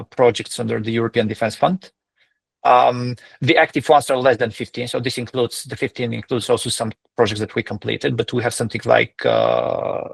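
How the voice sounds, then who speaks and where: Very gravelly-voiced man, executive on a call. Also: medium pitch, no echo. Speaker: company executive. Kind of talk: earnings call answer, semi-formal explanation.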